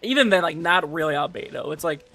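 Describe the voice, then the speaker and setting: A man's voice: on the high side, animated, fast, gravelly, sharp tone, faint music behind. A man livestreaming a game, chatting casually and giving commentary in a slangy, informal way full of filler words. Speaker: livestreamer playing a gacha game.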